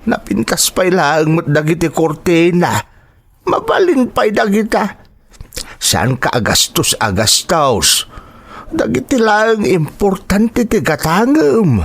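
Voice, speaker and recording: An actor playing a concerned, gentle and thrifty elderly father in an Ilocano radio drama, with sighing, admonishing talk. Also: mid-range voice, big pitch swings, slow, slightly rough, full character voice. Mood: gently admonishing.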